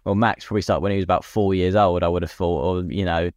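A man's voice: sits low, steady tone, quick pace, darkish tone, no room sound. A man co-hosting a podcast, chatting casually and matily about sport and offering his opinions. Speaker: podcast co-host.

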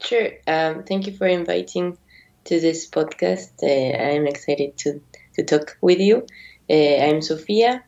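A woman's voice: deep voice, unhurried, darkish tone. A young woman from Argentina raised in Mexico, a native Spanish speaker, speaking English in an interview with many fillers and restarts. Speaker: guest. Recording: dull lo-fi audio.

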